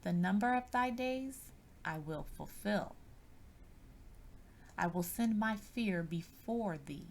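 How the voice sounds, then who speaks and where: A woman reads scripture aloud in a solemn, measured and deliberate manner, as a scripted narration. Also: mid-range voice, animated. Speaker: scripture reader.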